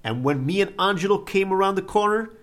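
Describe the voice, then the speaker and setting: Solemn Italian mobster sidekick, highish voice. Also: male voice, animated, fairly quick, a bit bright. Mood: earnest. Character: earnest, mournful Italian male mobster sidekick. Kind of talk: comic audio drama acting.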